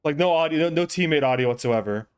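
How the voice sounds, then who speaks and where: A man's voice: on the high side, animated, fast, clear, resonant tone. A man streaming a game and chatting casually with teammates, rambling and swearing freely. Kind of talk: casual gaming chat.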